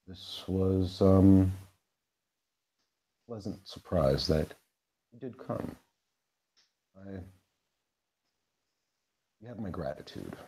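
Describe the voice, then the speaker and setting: Man doing a low, formal vampire host. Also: lively intonation, drawn-out words, long pauses, dark tone, a little hiss or hum, exaggerated voice, poor audio. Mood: courteous. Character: polite scheming male vampire host.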